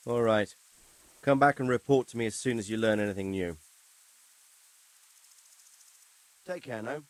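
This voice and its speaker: An actor as a stern, commanding medieval lord. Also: male voice, mid-range voice, brisk, slight background noise, natural voice. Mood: firm, commanding. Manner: formal, commanding, articulate. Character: authoritative nobleman lord, measured, grave, stern about justice. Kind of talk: video game cutscene acting.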